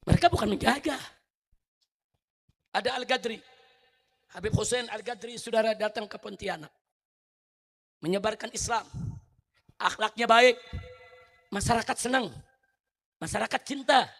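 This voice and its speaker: A middle-aged Indonesian man preaching a fiery sermon, firing rhetorical questions at the crowd and swinging from low, quiet asides to loud shouting. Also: normal speed, creaky, long pauses.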